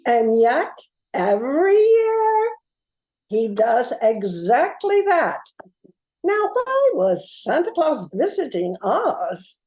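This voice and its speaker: A woman's voice: high-pitched, huge pitch swings, takes it slowly, darkish tone, exaggerated voice. A woman playing a grown-up, no-nonsense female private eye in a comic radio play, wry and matter-of-fact. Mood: explaining.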